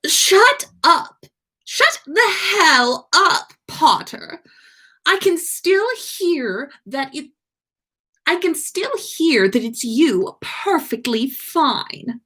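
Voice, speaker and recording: A woman acts out a haughty teenage wizard boy from a storybook. He is sulky and exasperated, pitched high with big swoops, and he drags out his snarky complaints. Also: sing-song, extremely slow, sharp tone, full character voice. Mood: outraged. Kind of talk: animated fan fiction read-aloud.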